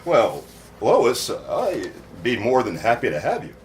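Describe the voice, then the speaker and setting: A man's voice: medium pitch, huge pitch swings, measured pace, slight background noise. A man voicing an awkward, lovestruck talking cartoon dog with a stammering, earnest manner in a comedy parody. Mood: bashful, sincere. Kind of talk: live comedic parody reading.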